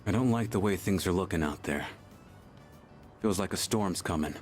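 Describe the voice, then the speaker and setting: A man plays an aloof young tough guy from a video game. His voice is low and gruff, with curt, muttered lines that hide a soft spot. Music plays faintly underneath. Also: moderate pace, lightly acted. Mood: gruff, caring. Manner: curt, muttering, laid-back. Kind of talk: English video game voice acting.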